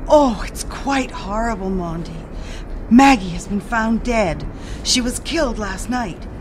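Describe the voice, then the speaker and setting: A woman playing Maple, a cautious woman who speaks in a hushed, guarded way. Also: a little high, animated, measured pace, noisy background.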